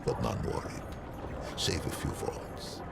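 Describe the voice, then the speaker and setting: A man playing an ancient, learned non-human storyteller in a video game, narrating old lore in a stately, solemn, wry manner with archaic phrasing and deliberate pauses. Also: natural voice. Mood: grave, wry. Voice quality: very deep, gravelly. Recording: music behind the voice. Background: prominent background music.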